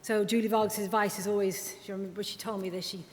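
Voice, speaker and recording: A woman speech and language therapist giving a chatty conference presentation, full of quick asides and self-deprecating remarks. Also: fairly even intonation. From Wales. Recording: reverberant hall with faint rumble.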